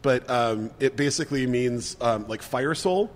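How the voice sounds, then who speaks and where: A man's voice: mid-range voice, unhurried, slight background noise. Rambling man with fillers, reverberant room. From Minneapolis area. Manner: rambling, laughs often, many fillers and restarts. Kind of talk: casual chat.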